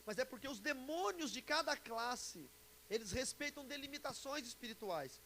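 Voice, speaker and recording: A Brazilian pastor in his forties speaks in a notably high male voice. He teaches a congregation informally, joking and checking in with questions. A faint hiss runs under the live recording. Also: expressive, normal speed, bright, forceful tone. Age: 40s. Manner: chatty, joking, asks the audience questions. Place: church conference before an audience. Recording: live talk with background hiss.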